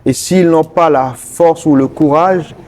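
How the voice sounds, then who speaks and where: A man from Togo, answering interview questions earnestly and pausing often mid-sentence to find his words. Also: on the high side, a little mellow, slight background noise. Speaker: interview guest, politician.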